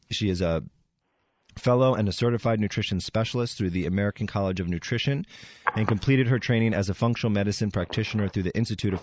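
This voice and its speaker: A man with a hoarse voice, hosting an interview in a relaxed, chatty and halting way. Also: fairly low pitch, dry recording, lo-fi sound. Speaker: show host. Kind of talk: casual interview.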